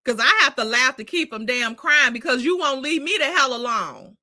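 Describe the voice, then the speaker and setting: Bright, sassy woman host. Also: sits high, lively intonation, moderate pace. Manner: sassy, exasperated, laughs often. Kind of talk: casual live commentary on reality drama.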